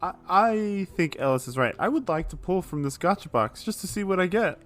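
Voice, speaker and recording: A man chatting casually as a tabletop game player, with an animated, exclaiming manner. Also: high voice, fairly quick. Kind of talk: casual chat. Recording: music behind the voice. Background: background music.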